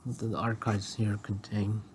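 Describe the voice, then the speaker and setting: A man reading scripted dramatic dialogue in a deliberate delivery, phrase by phrase. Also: lowish voice, flat delivery, a little hiss or hum. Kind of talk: scripted dialogue read-through.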